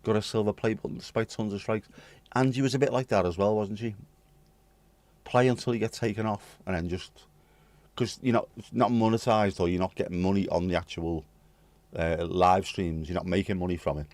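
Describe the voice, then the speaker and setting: A hoarse man with a British accent, chatting casually with viewers on his livestream and swearing bluntly. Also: fairly low pitch, fairly even intonation, fairly quick, a little mellow, no echo. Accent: British English. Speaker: livestream host. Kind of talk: casual chat reading viewer comments.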